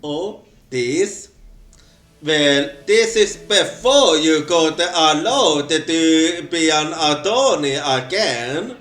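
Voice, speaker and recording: A man reading lines as a calm, seasoned male attorney in a quiet, laid-back tone. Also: on the high side, very slow, reverberant, faint music behind.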